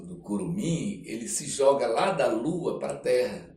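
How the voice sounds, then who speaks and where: A man's voice: mid-range voice, expressive, a little slow, a little mellow. A Brazilian man chatting as a podcast guest, telling stories in a reflective, rambling way with frequent checking tags. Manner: rambling, reflective, often ending phrases with a checking tag. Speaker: interview guest, performing artist. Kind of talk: casual storytelling in a podcast interview. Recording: echoey room with faint noise.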